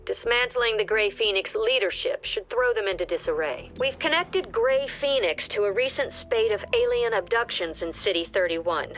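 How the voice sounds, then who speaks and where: A woman playing a calm squad commander. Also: medium pitch, normal speed, hardly any pauses, lightly acted. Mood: briefing. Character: composed female squad commander giving orders. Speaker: mission briefing officer. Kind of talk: video game voice acting.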